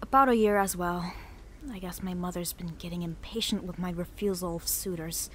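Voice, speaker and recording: A woman playing a dignified young pony princess in an audio drama, reserved and resigned, with measured speech. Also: on the low side, steady tone, a bit bright, slight background noise, lightly acted. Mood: resigned, wary. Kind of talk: fan audio drama acting.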